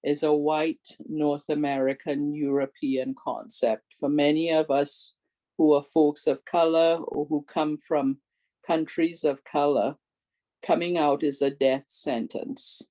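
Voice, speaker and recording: A woman's voice: low voice, steady tone, a little slow, mellow, rough recording. An older woman with a big, strong voice gives an earnest community awareness talk and makes repeated, pleading requests to her listeners. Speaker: presenter and advocate.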